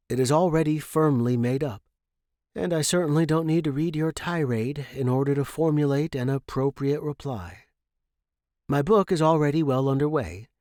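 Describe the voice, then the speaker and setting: Stubborn young Victorian gentleman scholar played by a male narrator, formal and firm, storybook letter, dry close sound. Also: medium pitch, dry recording, close to an everyday voice. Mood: firm, defiant. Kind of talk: dramatic letter reading.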